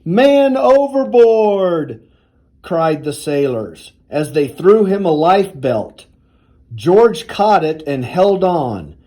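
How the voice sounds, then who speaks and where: A man reading a children's storybook aloud in a deliberate, expressive storytelling manner. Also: high voice. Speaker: university athletics director reading aloud.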